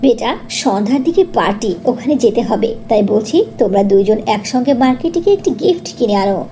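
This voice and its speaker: A woman's voice: on the high side, lively intonation, fairly quick, warm tone, a little hiss or hum. Woman acting firm, caring cartoon mother. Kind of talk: cartoon story dialogue.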